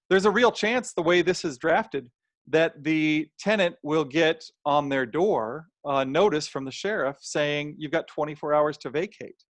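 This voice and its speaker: An American man with a fairly high voice gives an explanatory webinar talk. He keeps it plain and conversational, slipping into imagined quotes to make points. His voice is slightly strained, and the sound is close and dry. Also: no echo. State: slightly strained, throat-clearing voice. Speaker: webinar presenter on housing law.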